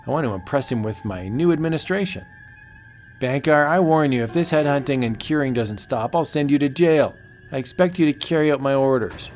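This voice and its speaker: A man's voice: medium pitch, a little fast, darkish tone, soft background music, lightly acted. A man playing an arrogant, bullying young colonial officer with a haughty, commanding manner, in a comic book audio drama.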